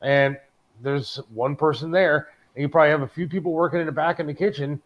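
A man's voice: fairly high pitch, fairly even intonation, brisk, lo-fi sound. A middle-aged American man co-hosting a talk show, griping casually and emphatically, with some swearing. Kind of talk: casual, opinionated chat.

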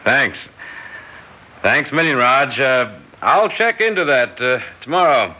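A man's voice: mid-range voice, lively intonation, a little slow, close to an everyday voice. Evasive, hesitant man played by an actor, muffled. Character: evasive, nervous married man in a hurry. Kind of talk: 1960s radio drama acting. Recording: dull, hissy old radio recording.

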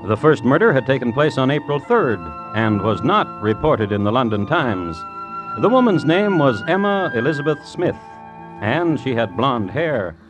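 A male narrator in 1950s radio style, mid-pitched but with lively inflection. He is formal, measured and dryly wry, with faint music behind him. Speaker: host and narrator.

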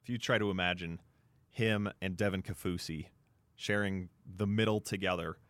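A man with a low voice co-hosts a sports show. He gives conversational analysis, feeling his way through sentences with many verbal fillers. The sound is close and dry. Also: steady tone, normal speed, a bit bright, no room sound.

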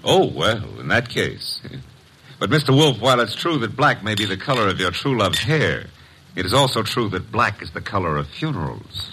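An actor playing a wisecracking, brash detective's assistant in an old-time radio drama, sardonic and breezy. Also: male voice, fairly low pitch, big pitch swings, moderate pace. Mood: wry, incredulous. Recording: noisy vintage radio recording.

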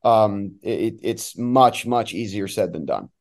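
A man's voice: fairly low pitch, animated, slow, vocal fry, darkish tone. A man talking as a sports announcer guest, giving emphatic, opinionated analysis in a conversational way.

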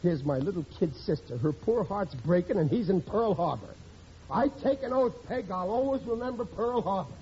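Through a dull, noisy old radio recording comes an indignant working-class husband and father. His voice sits high, and he blusters along, mangling phrases in classic sitcom style. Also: expressive, moderate pace, warm tone. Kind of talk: 1940s radio sitcom acting.

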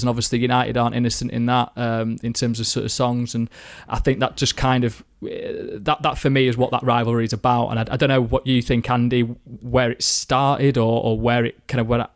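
Casting note: young man from around Manchester, casual football podcast chat, flat delivery, dry close sound. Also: medium pitch, no echo. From Manchester area. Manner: rambling, many fillers and restarts.

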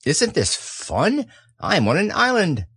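A man's voice: sits high, huge pitch swings, slow pace, a bit bright, breathy edge, dry recording, studio quality, pitched above the performer's own voice, exaggerated voice. A man voicing an eager, caring young storybook boy with an earnest, exclamatory manner. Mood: excited.

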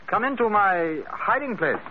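A man's voice: high voice, expressive, a little hiss or hum. An actor playing a courteous, dignified young Chinese man in hiding, speaking formal, careful English in an old-time radio drama. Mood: courteous, uneasy. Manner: formal, measured, careful diction. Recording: dull old radio recording, faint music.

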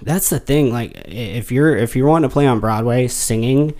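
A man's voice: mid-range voice, moderate pace, warm tone. A man co-hosting a casual podcast, talking in a thoughtful, wry way. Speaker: podcast co-host.

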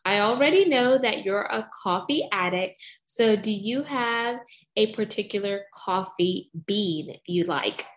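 A woman's voice: medium pitch, lively intonation, a little slow. A woman hosting a podcast interview in a bubbly, playful, sing-song manner. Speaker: podcast host.

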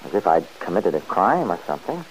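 A man playing a restless, stubborn middle-aged man who answers gruffly and defensively, in 1940s radio acting. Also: low voice, big pitch swings, a little fast, slight background noise, rough recording.

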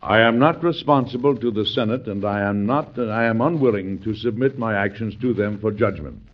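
An actor playing a stubborn, combative president in an old-time radio drama, speaking in an emphatic, oratorical way. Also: male voice, lowish voice, normal speed, close to an everyday voice. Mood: defiant. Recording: dull, lo-fi vintage radio recording.

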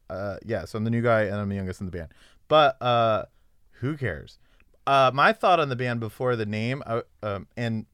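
A man's voice: lowish voice, a bit bright, clean tone, no room sound, clean studio sound. A man in his thirties chatting casually on a podcast, laid-back and a bit hesitant, laughing easily. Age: 30s. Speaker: band member, podcast guest. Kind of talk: casual chat.

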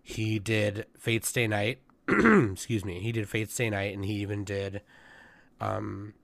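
Man, host, casual rambling chat, low gravelly voice, dry close sound. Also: takes it slowly, a bit bright, no echo. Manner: rambling, many fillers and restarts. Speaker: host of an anime news show.

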